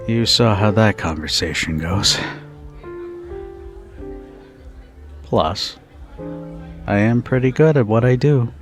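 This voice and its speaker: Guy acting as a low voiced bartender, confident n teasing, kinda protective, slow intimate asmr roleplay w music behind. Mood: protective, flirty. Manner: teasing, unhurried, with soft chuckles and pauses. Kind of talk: ASMR roleplay, intimate second-person monologue.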